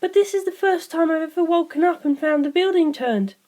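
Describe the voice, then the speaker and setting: A woman acts out a young female spirit for a storybook, pitched very high. The delivery is light and a little hesitant, like a forgetful girl answering questions. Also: brisk, warm tone. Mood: hesitant, apologetic. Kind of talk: audiobook character dialogue.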